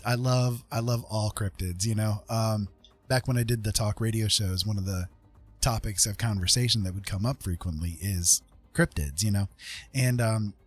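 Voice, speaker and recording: A man hosting a livestream in casual chat, talking in a rambling, halting way and often saying "you know". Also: on the low side, husky. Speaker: livestream host.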